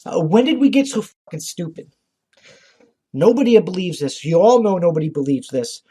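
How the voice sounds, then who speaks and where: Mellow-toned man, blunt political podcast rant. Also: high voice, expressive, moderate pace, slightly rough. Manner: profane, blunt; indignant, exasperated. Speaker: podcast host. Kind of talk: informal political commentary rant.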